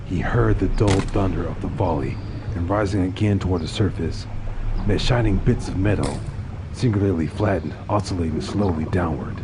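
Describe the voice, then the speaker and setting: A man with a deep, low voice narrates a dark tale in a dramatic, scripted style. Clear ambient noise runs behind his reading.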